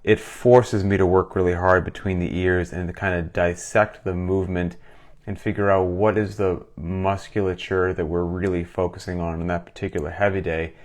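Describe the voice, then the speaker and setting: A man's voice: sits low, level tone, normal speed. A man with a cold, hosting a casual live question-and-answer session as a fitness coach, talking with enthusiasm. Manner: enthusiastic, conversational, reads questions aloud. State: getting over a cold, sucking a cough drop. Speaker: gym owner and coach hosting a Q&A. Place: recorded for a live stream.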